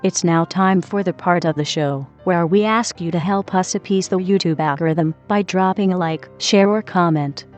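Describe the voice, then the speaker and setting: Lower-pitched woman, slightly dull recording. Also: normal speed, hardly any pauses, a little mellow, faint music behind. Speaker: co-host of a gaming channel. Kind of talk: scripted channel outro.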